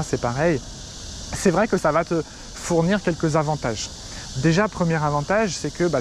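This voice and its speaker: Chatty French man, slightly high voice. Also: a little hiss or hum. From France. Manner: chatty, direct, addresses viewer as tu. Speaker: Chinese teacher. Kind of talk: casual talking-head advice video. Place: outdoors in front of a city skyline.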